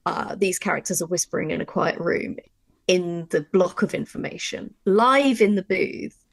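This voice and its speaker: A woman's voice: on the low side, normal speed, vocal fry, dry recording. A woman teaching a workshop in a conversational, informal way, speaking emphatically and insistently. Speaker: audio drama writer and workshop presenter.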